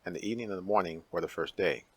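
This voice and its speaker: A man's voice: low voice, very fast, no room sound. A man narrating scripture as a dramatic reading, in a solemn, declamatory manner.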